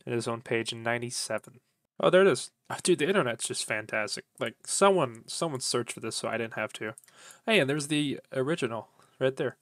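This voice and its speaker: Male vlogger, bright forward voice, mid pitch, informal rambling delivery with folksy phrasing. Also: lively intonation, brisk, no echo, studio quality. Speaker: YouTube vlogger, vintage electronics collector. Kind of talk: casual rambling vlog chat.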